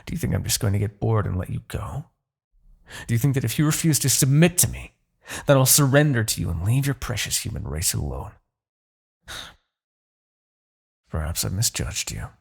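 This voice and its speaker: A man's voice: fairly low pitch, sing-song, moderate pace, gravelly, pauses often, breathy edge, full character voice. A man playing a smug, possessive demon king in an ASMR roleplay, speaking with haughty, theatrical formality. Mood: frustrated, exasperated. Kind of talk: ASMR roleplay, dramatic character acting.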